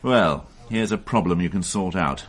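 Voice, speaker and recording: An actor playing an exasperated senior police detective in a radio drama, sharp-tongued and barking orders. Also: male voice, deep voice, expressive, moderate pace. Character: irritable senior police detective, middle-aged man.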